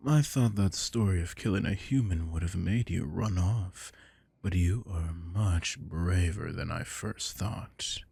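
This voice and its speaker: Vampire lord, gravelly, unhurried, menacing and seductive, ASMR roleplay. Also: male voice, darkish tone, dry recording, full character voice. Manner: slow, low, menacing seductive murmur. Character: arrogant aristocratic male vampire lord.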